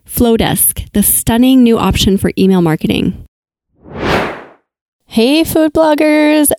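A woman's voice: medium pitch, darkish tone, no echo. Upbeat woman podcast host. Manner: upbeat, enthusiastic. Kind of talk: podcast interview and read ad.

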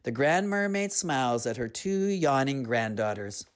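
A storyteller narrating and reflecting on a tale in an earnest, unhurried, reflective way. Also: medium pitch, animated.

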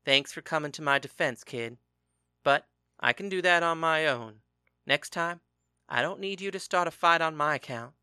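A hot-tempered husband and father, as a man puts him on, hurling loud, accusing questions in a bright, forceful voice. The pitch climbs as he rages, and the reading sits close and dry with no room around it. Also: normal speed, smooth, no room sound. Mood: stern. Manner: shouting, accusing.

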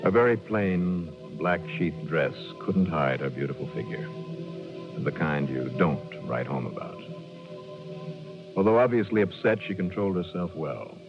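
Casting: shrewd American investigator for 1960s radio drama, extremely deep, measured delivery, dull vintage sound. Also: male voice, animated. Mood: wry, admiring. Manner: measured, probing, hard-boiled. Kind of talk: 1960s radio drama acting and first-person narration. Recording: muffled 1960s radio recording, music behind.

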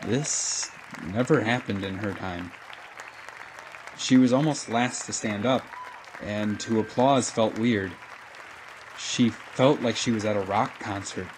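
A man's voice: on the low side, slow. A man narrating a scripted audio drama as a storyteller. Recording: crowd ambience and noise behind.

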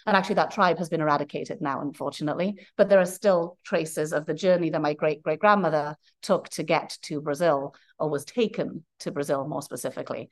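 A woman from Wales, a playwright giving long, earnest, rambling answers in a podcast interview. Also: fairly low pitch. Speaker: playwright guest.

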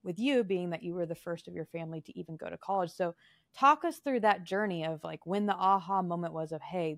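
A woman's voice: fairly low pitch, gravelly. A woman hosting a podcast, reading an upbeat introduction and then chatting casually with her guest. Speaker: podcast host.

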